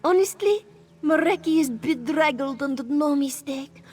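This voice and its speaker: A woman's voice: high voice, a little slow, darkish tone. An actress voicing a playful, flirty female cat-folk wanderer in a video game, coy and teasing, talking about herself in the third person. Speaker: game character.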